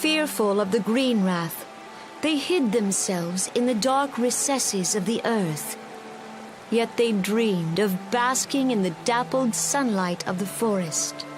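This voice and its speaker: Gentle solemn forest elder, fantasy game, higher-pitched, slow formal delivery, music behind. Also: female voice, expressive. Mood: solemn, reverent. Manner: measured, stately, formal. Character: gentle, solemn female forest elder leader addressing her people. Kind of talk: ceremonial speech in fantasy game.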